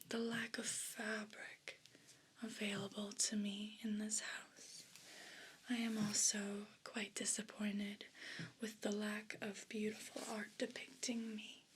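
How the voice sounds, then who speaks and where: A woman voicing a haughty, bossy evil cat in a breathy whispered ASMR role play, speaking with imperious condescension. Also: medium pitch, a bit bright, a little hiss or hum. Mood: disdainful. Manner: imperious, slow and deliberate condescension.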